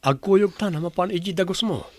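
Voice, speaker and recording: High-voiced, insistent jilted man played by a man. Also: fairly quick, a little mellow, no echo, natural voice. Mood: insistent confronting. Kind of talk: Ilocano audio drama acting.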